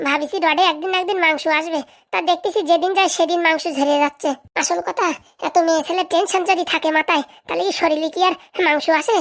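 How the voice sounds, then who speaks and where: Cheeky cartoon host, female performer: high-pitched, rapid, chummy, teasing comic monologue with mock complaints. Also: fairly even intonation. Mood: mock-complaining. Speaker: host answering viewer comments.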